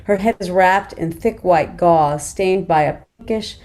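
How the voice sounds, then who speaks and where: A woman's voice: fairly low pitch, measured pace, darkish tone. A woman reading scene directions in scripted narration at a table read. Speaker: stage direction reader.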